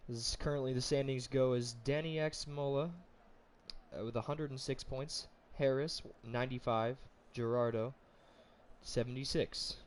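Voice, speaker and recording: A man who is feeling sick, with a raspy voice, commentating a racing league in a hesitant way with fillers. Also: mid-range voice, steady tone, normal speed, slight background noise. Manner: hesitant, with fillers and self-corrections. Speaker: race commentator.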